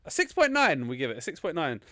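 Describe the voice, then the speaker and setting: A man hosting a casual film review podcast, teasing his co-hosts and talking over them in an animated way. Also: fairly high pitch, quick pace, dry recording. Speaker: podcast host.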